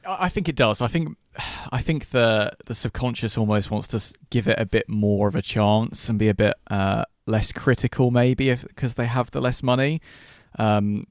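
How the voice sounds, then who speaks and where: A man's voice: mid-range voice, moderate pace, no echo, studio quality. A man co-hosting a casual film podcast, putting his views tentatively with hesitant, restarted phrasing. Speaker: podcast co-host.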